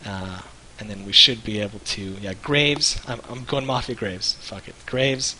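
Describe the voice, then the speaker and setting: A man's voice: on the low side, a little slow, sharp tone, slight background noise, rough recording. A man co-hosting a live stream in casual, jokey chat, rambling and searching for words. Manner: rambling, loose, jokey, hesitant.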